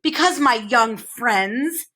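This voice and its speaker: A woman voicing a sour, deceitful male demon of petty tasks in a storybook reading, speaking softly and coaxingly. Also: fairly high pitch, expressive, slow, exaggerated voice, low-quality recording. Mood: menacing coaxing.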